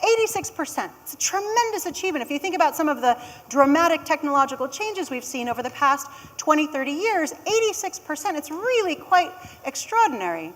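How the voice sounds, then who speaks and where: A woman's voice: high voice, very animated, brisk, hardly any pauses, fairly bright tone, long reverb tail. An American woman giving a conversational conference keynote with wry asides. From United States. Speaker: conference speaker. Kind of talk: keynote talk.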